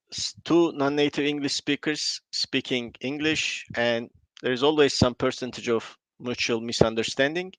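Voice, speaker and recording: A man whose first language is not English speaks in short, halting phrases, explaining his points plainly. His voice sits in the middle range. The recording is dry and close, with no room sound. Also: medium pitch, a bit bright, dry recording, poor audio. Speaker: conference speaker. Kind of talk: conversational conference talk.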